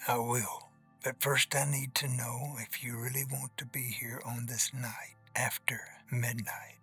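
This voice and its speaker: Guy doing a hushed old ghost caretaker, slow and creepy, soft and whispery. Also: mid-range voice, level tone, slightly rough, faint music behind, full character voice. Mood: calm, guarded. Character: old weary ghostly male cemetery caretaker. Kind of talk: audio drama acting.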